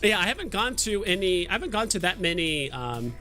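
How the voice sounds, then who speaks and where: Bright, higher-pitched man, hesitant. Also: sing-song, a little fast, faint music behind. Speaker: livestream host. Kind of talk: casual chat.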